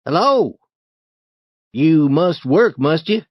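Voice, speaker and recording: A man's voice: fairly high pitch, very animated, slow pace, no room sound, dull audio, pitched above the performer's own voice, heavily acted. A man voicing an eager boy who plays at being a riverboat in a storybook reading. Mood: boisterous. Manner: boisterous, pleading.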